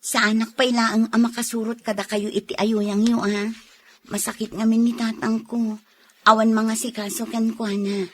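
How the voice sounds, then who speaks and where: A woman with an Ilocano accent playing a young, single, friendly overseas worker in an audio drama, speaking softly and hesitantly. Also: a little high, flat delivery, normal speed, lightly acted. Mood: modest, friendly. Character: young single Filipina working abroad, Cherry, modest and friendly. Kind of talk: radio drama acting in Ilocano.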